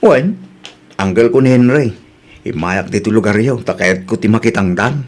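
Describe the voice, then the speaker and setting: A man's voice: fairly low pitch, normal speed, lightly acted. A man in his thirties with an Ilocano accent playing an eager, shyly flirtatious nephew in a radio comedy. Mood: flirtatious, awkward. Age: 30s.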